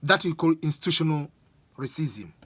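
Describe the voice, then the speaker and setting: A man's voice: on the high side, animated, normal speed, fairly bright tone, slightly rough, no echo. A man with an East African accent speaking English as a Swahili speaker, giving an informal opinion monologue and repeating phrases for emphasis.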